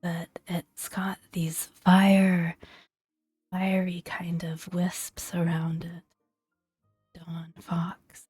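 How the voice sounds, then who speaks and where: A woman narrates in a hushed, breathy near-whisper, in the manner of soft-spoken ASMR. Her pace is very slow and her delivery gentle and soothing. The sound is close and dry, with faint music underneath. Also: lowish voice, completely flat delivery, dry recording. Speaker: gaming ASMR video creator.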